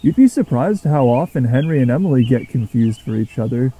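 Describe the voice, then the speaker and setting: Patient, good-humoured engine character, played by a man, mellow tone, calm explanatory delivery for a children's railway story. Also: mid-range voice, fairly quick, a little hiss or hum, natural voice. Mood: amused, explaining.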